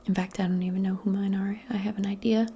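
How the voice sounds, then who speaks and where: A woman doing a soft-spoken ASMR roleplay, mostly in a breathy whisper, with a gentle, reassuring and hesitant way of talking. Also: mid-range voice, flat delivery, quick pace, slight background noise.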